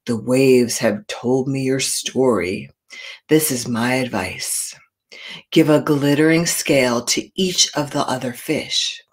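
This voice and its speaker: A woman voicing a wise old female octopus in a deep, slow, soft voice. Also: slightly rough, heavily acted. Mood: solemn.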